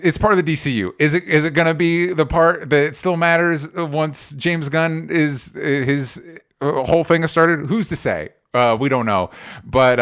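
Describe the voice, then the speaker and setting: A man's voice: a little high, fairly bright tone, clear, resonant tone. Rambling, informal male podcast host. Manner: rambling, halting, self-interrupting. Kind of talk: casual pop culture podcast chat.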